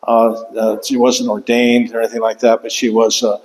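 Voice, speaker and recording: An older man giving an author talk in a lecture room, speaking haltingly with many fillers and restarts. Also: medium pitch, completely flat delivery, darkish tone. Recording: long hall reverb.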